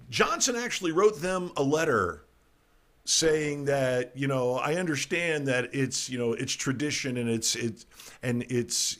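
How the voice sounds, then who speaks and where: A man's voice: medium pitch, fairly bright tone. Ranting, irreverent male talk-show host. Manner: ranting, irreverent, stumbling restarts. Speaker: podcast host. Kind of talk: casual political comedy talk.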